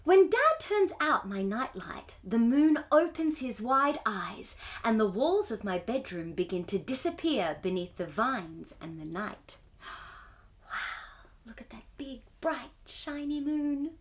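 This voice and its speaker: A woman hosting a storytime, reading a picture book aloud to young children in an expressive, wonder-filled way. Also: on the high side, slow pace. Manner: wide-eyed wonder, exclamations and gasps between passages.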